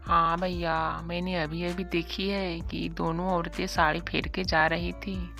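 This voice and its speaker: A woman playing a crafty, smug village sister in an animated moral story, with a sly, insistent manner. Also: lowish voice, a little slow, gravelly, faint music behind. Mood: bargaining.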